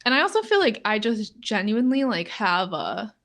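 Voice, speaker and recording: A young woman in her twenties co-hosting a podcast in casual chat, talking in a flat monotone and filling nearly every phrase with "like". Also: moderate pace. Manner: says "like" constantly, flat and low-key, laughs often. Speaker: podcast co-host.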